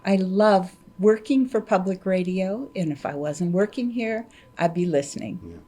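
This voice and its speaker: A woman who hosts on public radio, chatting enthusiastically with halting restarts. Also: on the low side, animated, warm tone. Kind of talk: casual radio studio chat.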